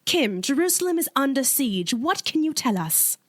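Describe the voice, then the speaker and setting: A woman playing a brisk co-anchor who puts questions crisply in a parody radio newscast. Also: high-pitched, very animated. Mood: brisk, probing. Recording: clear background noise behind the voice.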